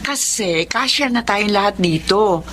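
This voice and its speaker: A woman's voice: medium pitch, animated, measured pace, a crowd in the background, natural voice. An actress playing a humble, grateful wife and mother in a Filipino radio drama, speaking earnestly and respectfully. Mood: hopeful, thankful.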